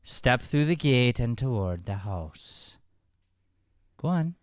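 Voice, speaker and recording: An actor playing a sinister older Irish man in an improvised radio play, coaxing and quietly menacing. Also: medium pitch, very animated, slow, dry recording, clean studio sound. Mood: coaxing menace. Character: sinister older Irish man luring boys into his house.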